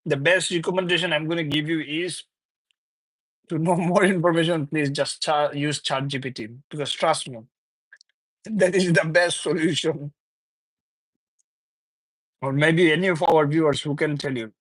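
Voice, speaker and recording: Young male streamer, slightly high voice, from Bangladesh; loose, chuckling banter with chat, dry close sound. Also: fairly even intonation, normal speed, pauses often, a bit bright, no room sound, poor audio. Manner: chuckles often, reads viewer comments aloud; loose, repetitive, teasing banter. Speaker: livestream host. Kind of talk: casual live chat with viewers.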